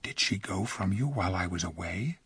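A man playing a stern noble king in a storybook reading, with solemn old-fashioned speech, low and hushed. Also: animated, a little fast, breathy edge, dry recording, exaggerated voice. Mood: grave.

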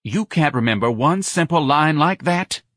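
A man's voice: a little high, lively intonation, normal speed, a bit bright, dry recording, clean studio sound, voice pushed up. A man voicing a hard, scheming woman who runs a bar, curt and browbeating, in an audiobook. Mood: angry.

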